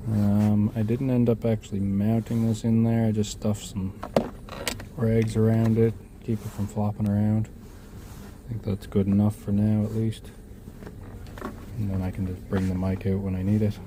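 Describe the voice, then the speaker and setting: A man's voice: fairly low pitch, level tone, moderate pace. A man giving a casual, unscripted walkthrough of a hands-on job, half talking to himself as he works. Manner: unscripted, muttering to himself while working. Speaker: vlogger demonstrating a DIY vehicle install. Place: in and around a vehicle. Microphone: handheld camera microphone. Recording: noisy handheld camera, clicks and rattles.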